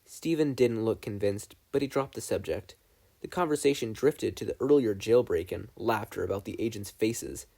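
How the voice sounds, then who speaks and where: A man narrating fan fiction from a script in an expressive storytelling style. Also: on the low side, normal speed, gravelly. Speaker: podfic narrator.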